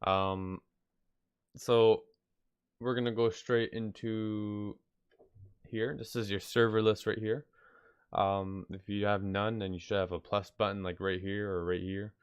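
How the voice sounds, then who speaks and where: A man with a slightly nasal, congested voice from being sick, rambling offhandedly through an unscripted software tutorial. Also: lowish voice, level tone, a little slow, clean tone, no room sound. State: sick with stuffy nose. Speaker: video tutorial host. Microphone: close mic, screen-recording voice-over.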